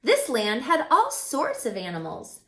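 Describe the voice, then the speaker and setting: A woman reading a children's picture book aloud as a teacher, expressively, pausing to put questions to young listeners. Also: high-pitched, huge pitch swings, fairly bright tone, reverberant.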